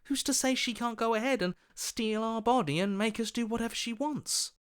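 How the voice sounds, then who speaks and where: A man's voice: very high voice, moderate pace, a bit bright, clear, resonant tone. A man voicing a wry, uneasy heroic companion, an inner voice in a video game. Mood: anxious, pleading.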